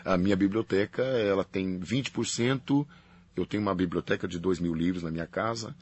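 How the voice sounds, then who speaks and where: Deep husky priest. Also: male voice, a little fast. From Paraná, Brazil. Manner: calm, explanatory, repeats key phrases. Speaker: priest and faculty representative. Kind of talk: interview guest explaining at length.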